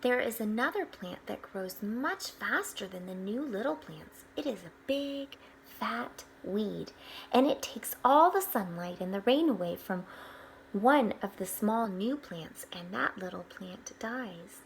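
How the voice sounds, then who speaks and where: A woman reading a picture book aloud to children, expressively, pausing for explanatory asides. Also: medium pitch, gravelly, slight background noise, rough recording. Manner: expressive, with hushed asides pointing things out.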